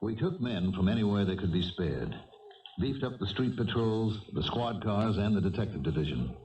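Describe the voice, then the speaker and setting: An actor playing a sober police detective in a 1970s radio drama, terse and matter-of-fact. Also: male voice, lowish voice, lively intonation, moderate pace, darkish tone, recorded from a distance, lo-fi sound, close to an everyday voice. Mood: grave, factual. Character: sober male police detective recounting a case. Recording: echoey old radio broadcast, music behind.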